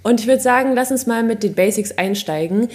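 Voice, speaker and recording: A woman's voice: on the high side, fairly quick, darkish tone. Woman on a chatty podcast, asks lots of curious questions, jokes about herself. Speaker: podcast co-host asking questions. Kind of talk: casual podcast chat.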